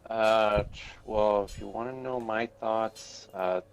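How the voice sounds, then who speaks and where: A man's voice: lowish voice, fairly even intonation, takes it slowly, smooth. A man giving his opinion in a casual group chat, hesitant and often restarting his phrases. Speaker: podcast participant giving opinions.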